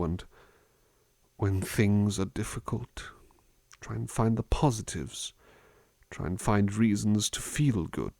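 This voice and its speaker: A man playing a gentle, reflective butler in a soft-spoken ASMR roleplay, musing slowly and thoughtfully, at times dropping into a near-whisper. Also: on the low side, creaky, dry recording, heavily acted. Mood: thoughtful, reassuring. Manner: hesitant, thoughtful, musing, unhurried. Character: gentle, reflective male butler reading poetry to his charge.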